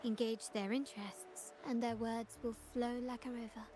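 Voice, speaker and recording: Composed, shrewd young noblewoman, played by an actress, high-toned. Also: level tone, background noise, lightly acted. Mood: cool, instructive. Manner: measured, formal, articulate. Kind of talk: video game voice acting.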